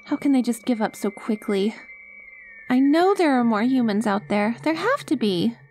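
A woman performs as a sweet young alien girl on a rescue mission. Her voice is fairly high yet soft and mellow, with an unhurried pace. She is earnest and easily flustered, talking to herself in an intimate ASMR audio drama. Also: slight background noise. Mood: determined, musing. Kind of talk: ASMR roleplay acting, soft-spoken.

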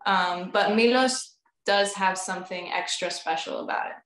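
A young woman in her twenties, a singer, being interviewed over a video call from a room at home. She talks in a bubbly, animated way and laughs often. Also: medium pitch, moderate pace. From United States, family from Crete. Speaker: guest, recording artist. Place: indoor room at home with instruments on the wall. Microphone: video call, webcam. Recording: roomy video call audio.

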